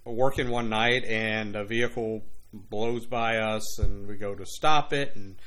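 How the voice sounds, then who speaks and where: A man's voice: fairly low pitch, fairly even intonation, a little slow, a bit bright. A man in his sixties from Louisiana, a retired police officer and author, telling long rambling anecdotes in a casual interview, with frequent "you know" asides. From Louisiana, USA. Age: 60s.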